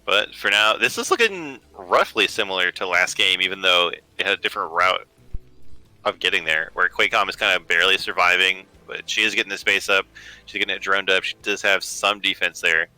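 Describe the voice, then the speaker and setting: A man's voice: low voice, brisk, bright, forceful tone, no room sound, faint music behind, low-quality recording. A man co-casting a strategy game, chatting casually and giving analysis with lots of fillers. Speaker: esports co-caster. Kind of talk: live game commentary.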